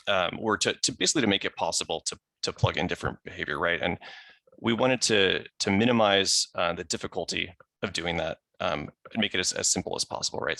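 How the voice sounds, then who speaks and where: A man with a low, gravelly voice walks through a technical presentation. He sounds crisp and bright, recorded close and dry, and keeps the explanation casual with little asides. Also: no room sound. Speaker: software engineer presenting. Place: remote video call.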